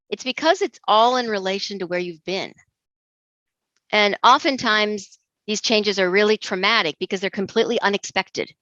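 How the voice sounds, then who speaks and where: A middle-aged woman from Egypt giving a measured, earnest recorded presentation as an intercultural trainer. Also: mid-range voice, a bit bright, no room sound. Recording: dull dry lo-fi recording.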